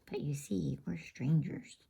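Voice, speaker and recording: Storybook eldest brother, played by a woman: earnest, brave, polite, in a dark and creaky near-whisper. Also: deep voice, unhurried, full character voice. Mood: polite, cautious. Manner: hushed, earnest.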